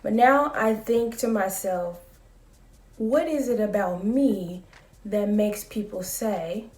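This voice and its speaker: Woman, late 30s, soft calm vlogger voice, slow and mellow, echoey room, a bit off-mic. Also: mid-range voice, distant mic. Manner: calm, measured and reflective. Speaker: school psychologist. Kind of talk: casual talking-to-camera vlog.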